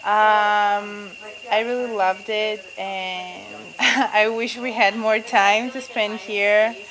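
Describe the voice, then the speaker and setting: A woman's voice: medium pitch, steady tone, very slow, a crowd in the background, low-quality recording. A woman from Greece, a student, speaking reflectively in an interview. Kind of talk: interview to camera.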